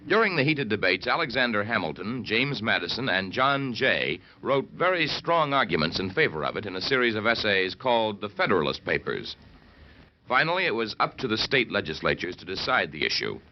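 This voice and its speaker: A man's voice: on the low side, big pitch swings, a little fast. An American man giving scripted historical narration in an earnest, rhetorical way. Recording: muffled old radio recording, faint noise.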